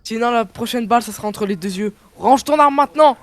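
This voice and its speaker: A man with a notably high-pitched voice plays a role in an online game. He improvises his lines in character as he goes. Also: fast talker, fairly bright tone. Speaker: role-play gamer.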